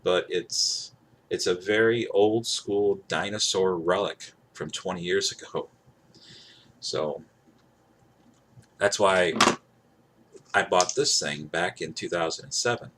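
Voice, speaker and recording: A man with a low voice talks casually to camera as he demonstrates a device. He rambles, circling back to the same points with emphasis. Some noise sits behind his voice. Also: slow pace, pauses often, fairly bright tone. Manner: repeats himself, rambling, emphatic. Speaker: gadget reviewer. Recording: clear background noise.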